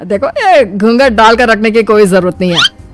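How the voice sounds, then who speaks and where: A woman puts on a middle-aged cartoon father-in-law, fussy and indignant. The fairly high voice questions and complains. There is faint music behind. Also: big pitch swings, normal speed. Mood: puzzled, chiding. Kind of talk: cartoon moral story acting.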